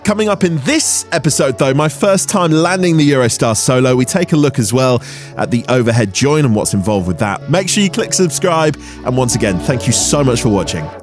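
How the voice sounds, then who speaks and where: A man's voice: medium pitch, lively intonation, fairly quick. A man narrating an explanatory vlog as its host, in a voice-over style. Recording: music under the voice. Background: background music.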